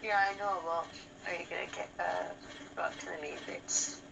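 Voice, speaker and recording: Female gaming co-host, low gravelly voice, blunt casual talk, video game music underneath. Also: steady tone, moderate pace, rough recording. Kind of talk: casual chat over gameplay.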